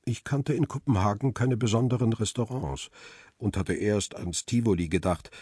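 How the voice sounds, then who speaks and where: A man's voice: deep voice, a little mellow, slightly rough, no room sound, studio quality, heavily acted. An actor playing a world-weary middle-aged paparazzo in a crime radio play, reflective and understated as he tells his story. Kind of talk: radio drama, first-person narration and dialogue.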